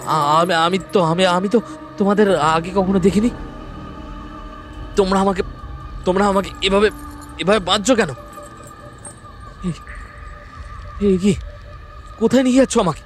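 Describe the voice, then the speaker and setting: A man acting a high-voiced, terrified captive man. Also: normal speed, long pauses, lightly acted. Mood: frightened pleading. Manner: stammering, repeating words in fear. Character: terrified captive man, pleading with his shadowy captors. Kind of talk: dramatized horror audio story acting. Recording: music under the voice. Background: music.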